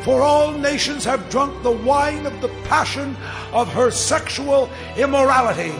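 High, echoing angel of doom. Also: male voice. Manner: loud, declamatory proclamation. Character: mighty angel thundering doom over a fallen city. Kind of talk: dramatized audio Bible. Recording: long cavernous reverb, music behind.

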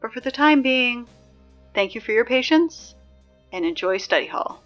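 A woman playing a sarcastic, exasperated high school announcer in a scripted audio drama, dry and emphatic in delivery. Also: lively intonation, moderate pace, a bit bright, muffled recording, soft background music. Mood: dry, matter-of-fact. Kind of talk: scripted fiction podcast, PA announcements.

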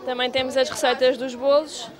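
A woman's voice: high voice, level tone, normal speed, a crowd in the background. A young woman with a European Portuguese accent explaining items at an outdoor fair stand into a handheld mic. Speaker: student exhibitor. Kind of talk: casual explanation. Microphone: handheld reporter mic.

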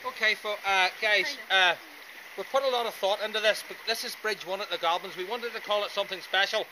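A man's voice: sits high, a little fast. A man giving a guided tour talk outdoors on a coastal path, picked up by a camera in the group. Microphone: camera among the group. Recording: very noisy outdoor camera recording.

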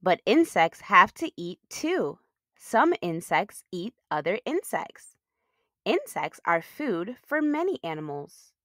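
Slow-paced, animated woman reading to children. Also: on the low side, no echo, studio quality. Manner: addresses young listeners as friends, simple explanatory talk. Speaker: host of children's read-aloud show. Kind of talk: children's picture book read-aloud.

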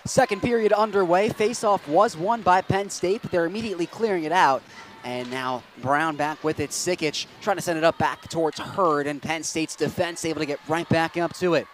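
A young man calls a hockey game play-by-play in a fairly high voice. He keeps up a quick, steady flow of action and then erupts into loud, excited goal calls. A faint hum of arena noise sits behind him. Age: young adult. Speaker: play-by-play announcer.

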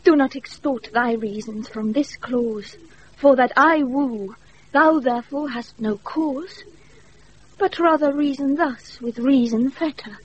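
An actress playing a proud young countess in love, in a declamatory, ardent classical Shakespearean radio style. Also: female voice, high voice, slow. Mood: ardent, pleading. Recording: muffled, noisy off-air radio recording.

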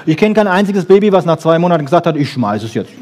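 A man giving a lively popular science lecture, emphatic, with rhetorical questions and short punchy asides. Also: fairly high pitch, fast, a faint crowd, a little hiss or hum. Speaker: lecturer on brain research.

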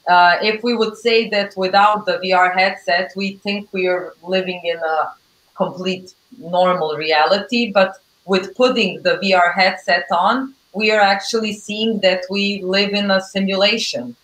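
A woman's voice: mid-range voice, steady tone, a little slow, a bit bright. A woman in her thirties hosting a conversation, speaking in a reflective, slightly hesitant way over a video call. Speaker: host. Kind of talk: casual conversation. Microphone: video call webcam. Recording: echoey room on a video call.

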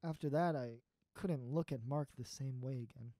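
Dry close recording, actor doing heartbroken young adult, earnest and halting, medium pitch, audio drama. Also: dark tone, dry recording, close to an everyday voice. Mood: wistful, hesitant. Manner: hesitant, earnest, halting confession. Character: heartbroken, lovesick young adult secretly turning into a beast.

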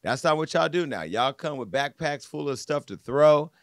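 A man's voice: a little high, expressive, moderate pace, no room sound, studio quality. A man in his forties hosting a podcast with casual comedic commentary, talking in slangy, mocking, sassy style. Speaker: podcast host. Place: podcast studio. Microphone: close studio microphone on desk.

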